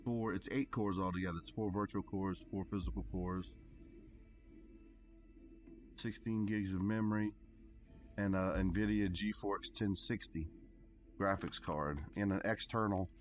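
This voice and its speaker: A man with a deep voice talks over clear background music. He is a game streamer chatting casually with his viewers. His delivery is easygoing and rambles along. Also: fairly even intonation, unhurried, pauses often, lo-fi sound. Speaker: game livestreamer. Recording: music behind.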